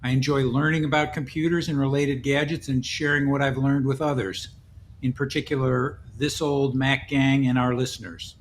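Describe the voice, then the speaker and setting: A man's voice: mid-range voice, little pitch movement, moderate pace, slight background noise. Earnest man in his seventies. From Ohio, USA. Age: 70s. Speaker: panelist. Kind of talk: casual panel chat.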